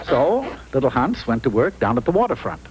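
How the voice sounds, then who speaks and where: A man's voice: mid-range voice, very animated, fairly quick, over music, close to an everyday voice. A man playing a wry, mock-solemn storyteller narrating a comic cartoon fairy tale. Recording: noisy old unrestored TV cartoon audio.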